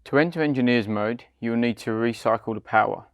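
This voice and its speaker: A British man presents a scripted product tutorial in a mid-range voice with a gravelly edge. His phrasing is measured and deliberate, moving step by step. The sound is dry, with very little room in it. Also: medium pitch, no echo, muffled recording. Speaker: product demonstrator. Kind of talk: scripted step-by-step instructional narration.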